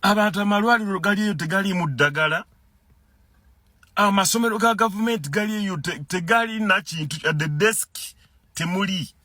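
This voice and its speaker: A man's voice: on the high side, dry recording, studio quality. A man with a Ugandan accent delivering an impassioned political rant, repeating and tripping over words as he gets worked up. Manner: animated, repeats words and stumbles when heated. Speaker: concerned citizen commentator.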